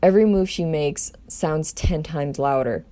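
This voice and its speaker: Mellow, lower-pitched woman reading a script. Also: unhurried. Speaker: storyteller reading own script. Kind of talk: amateur table read narration.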